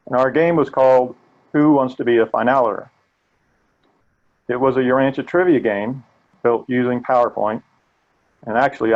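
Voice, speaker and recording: An older man with a gravelly voice walks listeners through a training webinar. He speaks in an earnest, steady way and sometimes restarts a phrase. The audio is dull and lo-fi, like a video call. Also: medium pitch. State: gravelly voice today. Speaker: webinar presenter. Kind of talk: presenting a live training webinar.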